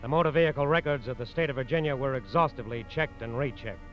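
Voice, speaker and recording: An actor narrating a 1940s American radio crime drama as an earnest, authoritative narrator with formal, emphatic delivery. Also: male voice, medium pitch, fast, close to an everyday voice. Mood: grave, authoritative. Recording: muffled old radio recording. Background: dramatic music.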